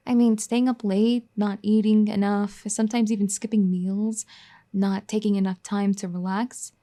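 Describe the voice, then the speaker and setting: A woman voicing a firm but caring young wife who scolds and then gently pleads in an audio roleplay. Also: mid-range voice, level tone, moderate pace, lightly acted. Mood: tender, pleading. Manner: bossy teasing scolding that turns gentle and pleading. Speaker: ASMR roleplay performer. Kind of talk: audio roleplay addressed to the listener.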